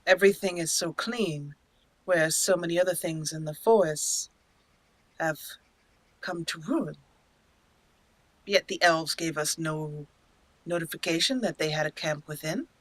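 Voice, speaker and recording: A woman hosting a let's play, narrating it as an expressive storyteller and speaking for her character. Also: fairly low pitch, unhurried, long pauses, no room sound, poor audio. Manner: expressive storyteller, acting out lines. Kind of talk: roleplay storytelling over gameplay.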